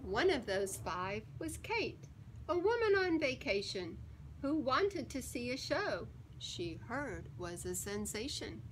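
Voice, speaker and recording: A woman reading a children's picture book aloud in an expressive, deliberate and emphatic storytelling manner. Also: a little high, slight background noise, poor audio. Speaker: story time reader.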